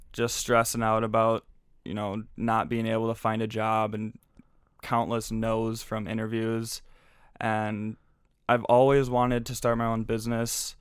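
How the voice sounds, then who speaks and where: A man in his twenties from Minnesota, a podcast guest telling his story in relaxed, easygoing casual chat. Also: on the low side, smooth, no echo, studio quality. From Minnesota, USA. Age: 20s.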